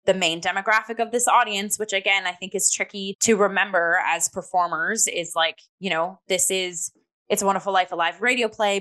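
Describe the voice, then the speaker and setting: A woman's voice: fairly low pitch, a bit bright. Effusive young woman chatting theatre. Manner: effusive, emphatic, conversational with many likes. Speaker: theatre review podcast host. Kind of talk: casual theatre review chat.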